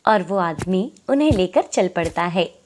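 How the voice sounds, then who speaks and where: A woman's voice: lowish voice, expressive, a little slow, background noise. A woman reading scripted narration for a moral story as its storyteller.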